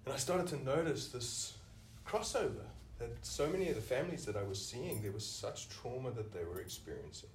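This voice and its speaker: A man's voice: medium pitch, expressive, a little fast, few pauses, distant mic, slight background noise. A man with a South African accent, a senior clinician answering interview questions thoughtfully, often restarting with "you know". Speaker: senior clinician, podcast guest. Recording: echoey room, voice off-mic.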